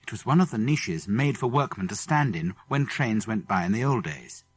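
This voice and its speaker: Male British audiobook narrator, children's adventure; husky tone, very animated, expressive delivery. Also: on the low side, normal speed. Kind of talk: scripted children's story narration.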